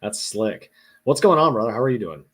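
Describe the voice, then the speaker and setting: A man's voice: medium pitch, very animated, a little fast, husky. A congested man hosting a casual online talk show, easygoing and full of fillers and restarts. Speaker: podcast host. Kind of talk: casual video-call chat.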